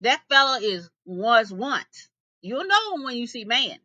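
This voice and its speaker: A woman's voice: fairly high pitch, sing-song, unhurried, bright, forceful tone, dry recording, full character voice. A woman voicing a knowing, sly little hare in a folktale, giving folksy instructions.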